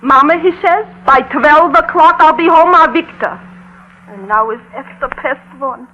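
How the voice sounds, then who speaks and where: High-voiced, fretting New immigrant mother. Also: unhurried, pushed and bright. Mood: plaintive. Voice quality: high-pitched voice. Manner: inverted, foreign-flavoured syntax, plaintive. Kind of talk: old-time radio drama acting. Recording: dull, noisy vintage radio recording.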